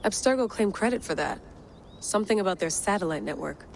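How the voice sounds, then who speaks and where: A determined woman adventurer speaks at medium pitch in a game cutscene. She is direct and intense, clipping her phrases over faint noise. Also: animated, fairly quick, gravelly. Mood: intent. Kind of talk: naturalistic game cutscene acting.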